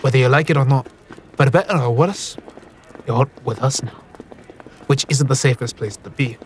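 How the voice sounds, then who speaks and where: An actor playing a seasoned, wry gambler mentor in a fantasy audio drama, colloquial and instructive. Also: male voice, medium pitch, moderate pace, a little hiss or hum, close to an everyday voice. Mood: serious, mentoring.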